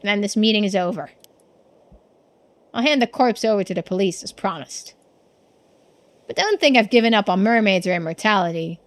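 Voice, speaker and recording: A woman voicing a gruff, greedy older collector obsessed with immortality, talking bluntly and dismissively in video game dialogue. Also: mid-range voice, animated, normal speed, long pauses, no echo, natural voice. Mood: smug, obstinate. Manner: dismissive, blunt, folksy speech.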